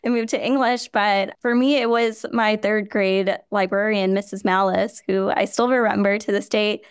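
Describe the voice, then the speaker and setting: Earnest woman founder, smooth clear voice. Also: medium pitch, normal speed, no echo, studio quality. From Chicago, USA. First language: Hindi and Gujarati. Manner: earnest, steady, with frequent "you know" fillers. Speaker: nonprofit founder, guest. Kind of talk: podcast interview answer.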